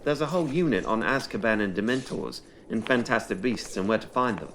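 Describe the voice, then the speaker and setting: A man's voice: lowish voice, expressive, a little fast, fairly bright tone, slight background noise. A man playing an earnest, bookish and anxious schoolboy wizard who talks defensively in an audio drama. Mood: quiet, matter-of-fact.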